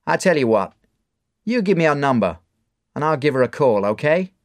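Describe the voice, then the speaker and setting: A man voicing a rough but kind bar owner who talks in a brash, streetwise way in a storybook reading. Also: on the high side, very animated, normal speed, voice pushed up. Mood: gentle.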